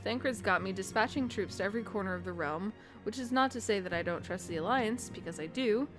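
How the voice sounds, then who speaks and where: Casting: woman voicing talkative, proud game sidekick, clear music in the background. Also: mid-range voice, fast talker, close to an everyday voice. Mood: chatty, proud. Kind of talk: reading game dialogue aloud.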